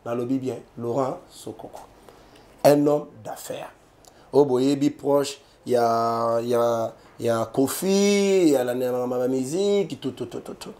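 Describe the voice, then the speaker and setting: A man's voice: medium pitch, slow pace, mellow. A man with a Congolese accent giving emphatic political commentary, repeating names and speaking straight to viewers. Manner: emphatic, repetitive, addresses viewers directly. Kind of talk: animated political commentary in French and Lingala.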